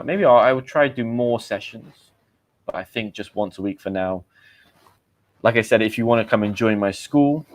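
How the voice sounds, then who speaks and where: A man with a British accent, talking through a relaxed livestream in a fairly low voice. He chats easily with viewers and stops now and then to correct their word choices, the way a language teacher would. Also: slight background noise. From Britain. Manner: chatty, corrects learners' vocabulary. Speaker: English teacher hosting a livestream. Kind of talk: casual livestream chat. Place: home in Tenerife.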